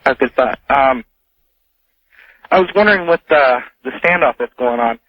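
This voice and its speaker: An American man calling a radio show, asking questions casually in a halting, tentative, roundabout way. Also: medium pitch, animated, no room sound. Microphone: telephone. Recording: dull telephone line on radio.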